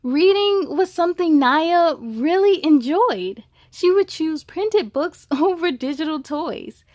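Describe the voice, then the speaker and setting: High-pitched, enthusiastic woman reading to kids. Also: lively intonation, slow, a little mellow, no echo, studio quality. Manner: enthusiastic, addresses young listeners directly. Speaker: host of a children's read-aloud story show. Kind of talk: animated children's storybook read-aloud with chatty asides.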